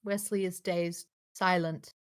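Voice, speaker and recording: A woman's voice: on the low side, flat delivery, slow, clean tone. A woman narrator reading stage directions aloud at a live, informal table read.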